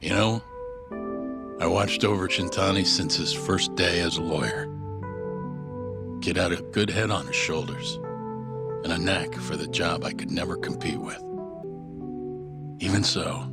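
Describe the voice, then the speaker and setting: An actor playing a gruff, fatherly older boss of a law office in an English game dub. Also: low voice, unhurried, long pauses, over loud music. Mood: somber, caring. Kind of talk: English dub cutscene acting.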